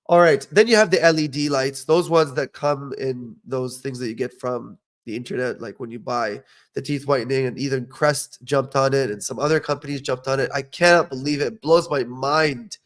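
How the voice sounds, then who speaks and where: Emphatic, opinionated man, slightly high voice. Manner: opinionated, emphatic, frequent "okay?" check-ins. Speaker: podcast host, dental professional. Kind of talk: casual educational podcast talk.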